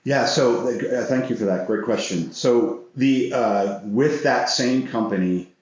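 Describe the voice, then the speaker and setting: A middle-aged American man answering interview questions as a guest, earnest and measured in the way he talks. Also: medium pitch, darkish tone. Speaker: interview guest, business executive. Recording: very echoey room, slightly dull sound.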